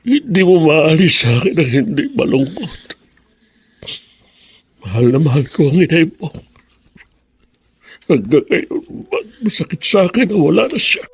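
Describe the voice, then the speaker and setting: A man acting a lonely, sorrowful elderly widower in a Filipino radio drama, with a husky, weary delivery. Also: fairly high pitch, full character voice. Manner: mournful, weary.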